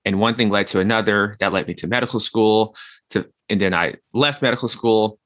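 Bright-voiced, lower-pitched man in his thirties. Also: fairly quick, dry recording. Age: 30s. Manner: reflective, uses everyday analogies. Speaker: guest, health consultant. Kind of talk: conversational interview.

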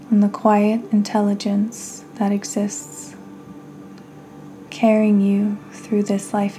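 A woman hosting a guided meditation, speaking soothingly in short phrases with long pauses and breathy endings. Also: mid-range voice, mellow, a little hiss or hum, poor audio. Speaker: podcast host and meditation guide.

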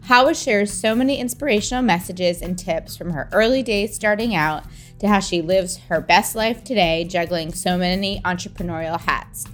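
Woman podcast host, upbeat and enthusiastic, mid-pitched voice, soft music underneath. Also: moderate pace. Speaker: podcast host and company founder. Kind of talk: scripted podcast intro and interview questions.

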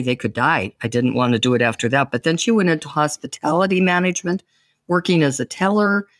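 A man's voice: fairly high pitch, fairly bright tone, no echo, clean studio sound. A man hosting a podcast interview, talking at length in an enthusiastic, encouraging way. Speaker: podcast host. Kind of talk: casual interview conversation.

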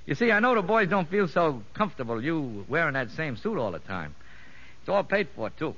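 An actor playing a boastful, streetwise convict safecracker in a 1950s radio drama, talking in ungrammatical slang dressed up with pompous fancy words. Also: male voice, fairly high pitch, very animated, a little fast, fairly bright tone, full character voice. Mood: sly, warm. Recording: dull vintage 1950s radio recording.

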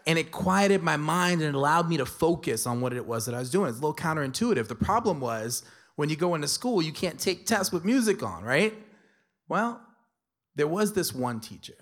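A man's voice: a little high, lively intonation, brisk. A middle-aged man from New York telling a personal story to an audience, conversational and emphatic, with playful asides. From New York City.

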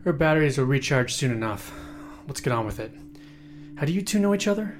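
Audio drama casting: male actor as shrewd psychic questioner, wry, probing, medium pitch, faint score behind. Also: lively intonation, brisk, faint music behind, lightly acted.

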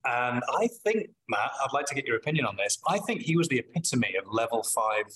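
A middle-aged man with a Manchester accent talks in a bright, forceful voice. He answers thoughtfully, pausing often and restarting his sentences as he works out what he wants to say. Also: medium pitch, very animated, poor audio. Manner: thoughtful, with frequent pauses and restarts. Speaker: business leader guest. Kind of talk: reflective interview answers.

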